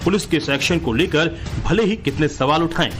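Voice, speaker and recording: High-pitched male news narrator over loud music. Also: brisk.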